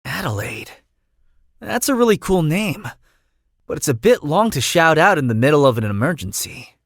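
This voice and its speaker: Game role: idealistic chatty young noble, bright-eyed and breezy, high voice, very lively pitch, dry close sound. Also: male voice, moderate pace, no room sound, exaggerated voice. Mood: friendly, persuasive. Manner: talkative, breezy, theatrical. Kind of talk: video game voice acting.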